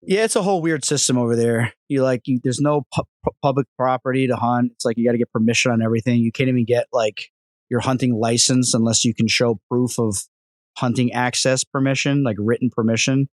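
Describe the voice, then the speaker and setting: A man co-hosting a podcast, telling animated stories and joking in casual chat. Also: mid-range voice, brisk, dry recording. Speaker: podcast co-host.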